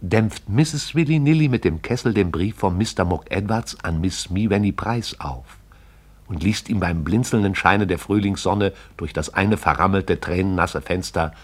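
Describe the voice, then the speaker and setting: A man's voice: deep voice, moderate pace. A man narrating a radio play in a poetic, rhythmic recitation.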